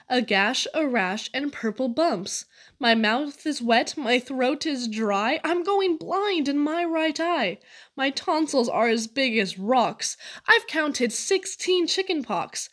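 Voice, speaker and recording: A woman acts out a little girl from a storybook poem, complaining at length. The voice is high and unhurried, full of melodramatic self-pity. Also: fairly bright tone, full character voice. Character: whiny dramatic little girl faking endless illnesses.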